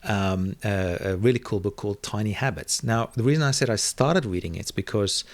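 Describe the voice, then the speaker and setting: A man's voice: on the low side, brisk. A man whose first language is Afrikaans, musing in an interview with frequent fillers and restarts. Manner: thoughtful, many fillers and restarts. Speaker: interview guest. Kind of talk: reflective conversational interview.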